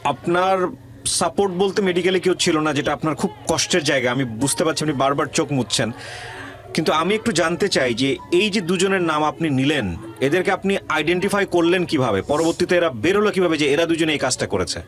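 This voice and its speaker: A man's voice: fairly high pitch, lively intonation, a little fast, a bit bright. A man with a Bangladeshi accent hosting an interview show, speaking reflectively and emphatically, repeating phrases for weight and mixing in English words. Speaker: radio show host and interviewer. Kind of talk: reflective monologue and interviewing a guest. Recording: music under the voice.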